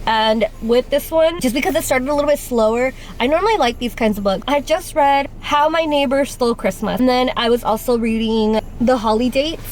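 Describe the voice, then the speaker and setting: A young woman with a Spanish accent talks loosely and chattily to her handheld camera. Her voice sits a little high, and her sentences ramble along, full of "like" fillers. She speaks as a native Spanish speaker talking in English. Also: fairly quick, hardly any pauses, poor audio. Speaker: vlogger. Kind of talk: casual chat. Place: car and gym.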